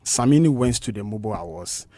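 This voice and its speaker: A man's voice: lowish voice, expressive, fast, mellow, no echo. A man with a Ghanaian English accent, a musician guest in a radio studio interview, speaking passionately and emphatically and repeating his points.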